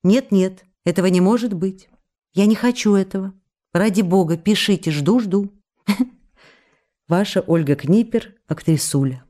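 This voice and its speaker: A woman's voice: mid-range voice, measured pace, mellow, close to an everyday voice. A woman playing an affectionate, restless actress who reads her love letters aloud in a coquettish, pleading, emotive way for a radio play. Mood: affectionate, anxious. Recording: radio broadcast with music behind. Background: music.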